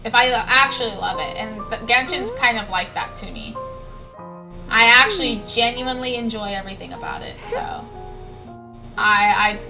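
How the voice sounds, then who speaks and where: A woman's voice: a little high, moderate pace, gravelly, low-quality recording. A young woman chatting casually as she livestreams a video game, in an informal, conversational style. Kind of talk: casual livestream chat over gameplay. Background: background music.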